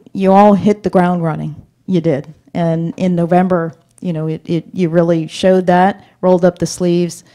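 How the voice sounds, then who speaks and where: A woman giving a thank-you speech as outgoing mayor at a city commission meeting, halting with many fillers and restarts. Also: lowish voice, dark tone. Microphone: fixed meeting microphone.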